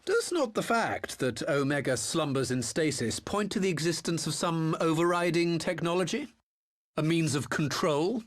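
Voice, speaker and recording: A man's voice: on the high side, animated, normal speed, lightly acted. A man playing a smug, theatrical former imperial officer and master engineer in a video game, mocking with grandiose courtesy. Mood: needling, persuasive. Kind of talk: video game cutscene acting.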